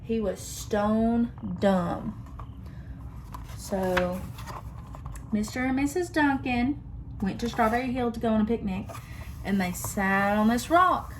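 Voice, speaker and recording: Young teacher lady reading a storybook to her class, slow and expressive, higher voice, faint hiss. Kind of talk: reading a picture book aloud to a class.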